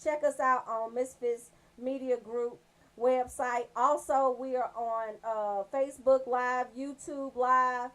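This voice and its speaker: Woman, American accent, high-pitched voice, radio host, casual banter, slangy, joking. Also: fairly even intonation, takes it slowly, a bit bright. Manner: slangy, joking, bantering, addresses listeners directly. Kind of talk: casual chatty radio show promos.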